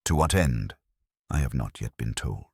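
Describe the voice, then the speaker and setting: A man playing a calm, measured police inspector with a formal, wry way of talking in a mystery audiobook. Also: very deep voice, slightly rough, no echo, clean studio sound, voice pushed down, heavily acted.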